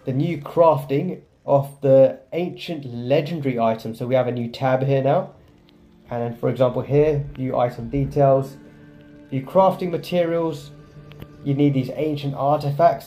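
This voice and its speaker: A man's voice: medium pitch, mellow. A man hosting a gaming video with casual gameplay commentary.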